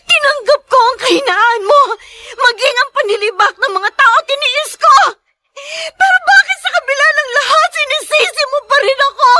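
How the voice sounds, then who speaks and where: An actress playing an anguished young wife who pleads with her man, sobbing and shouting through tears in a radio drama. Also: very high voice, moderate pace. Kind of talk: 1970s-80s radio drama acting.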